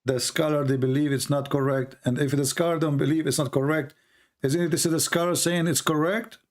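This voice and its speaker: A man with an Arabic accent presses his points in a heated online debate, insistent and lecturing. His voice sits a little high for a man. He speaks English as a second language after Arabic. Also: steady tone, fairly quick. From Middle East.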